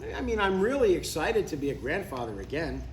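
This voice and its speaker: A man's voice: on the high side, very animated, brisk. A man playing a stubborn but affectionate older father in a live comedy table read, speaking in a matter-of-fact way. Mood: earnest, mild. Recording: roomy, distant live recording, faint noise.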